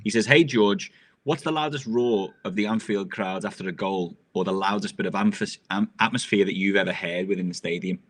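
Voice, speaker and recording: Male co-host, lowish voice, reading listener questions, conversational. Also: fairly quick, fairly bright tone. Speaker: podcast co-host.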